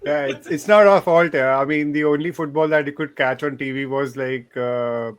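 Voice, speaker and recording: Animated man co-hosting a football chat. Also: a little high, normal speed, clear, resonant tone. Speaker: podcast co-host. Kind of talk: casual chat.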